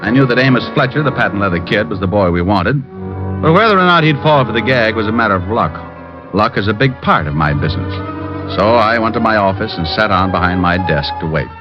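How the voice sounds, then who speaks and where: A man's voice: fairly low pitch, lively intonation, a little fast, music in the background, natural voice. An actor playing a wisecracking, unflappable male private detective in 1940s radio style, flippant and teasing. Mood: wry narration. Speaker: actor in lead role, narrator. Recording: muffled old radio recording.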